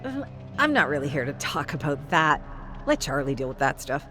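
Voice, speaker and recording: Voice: mid-range voice, big pitch swings, moderate pace, over music, lightly acted. A narrator playing an awkward, earnest male television veteran who speaks hesitantly and sincerely in a dramatic story.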